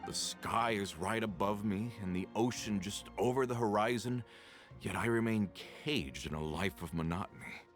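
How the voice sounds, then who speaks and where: Man voicing a weary, low-voiced working man. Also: moderate pace, faint music behind, close to an everyday voice. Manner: sighing, resigned, plain-spoken. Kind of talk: video game voice acting.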